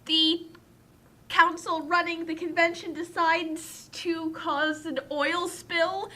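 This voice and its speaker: A woman's voice: very high-pitched, level tone, slow, sharp tone. A young woman chatting casually as a co-commentator, telling rambling anecdotes in a wry, exaggerating way. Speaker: guest co-commentator.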